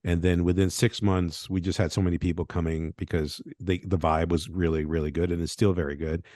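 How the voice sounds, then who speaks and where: A man's voice: low voice, little pitch movement, fairly quick, a little mellow, dry recording, studio quality. A man from Venezuela, a game designer talking loosely in a podcast interview, often tagging thoughts with "right?". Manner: rambling, tag questions like "right?".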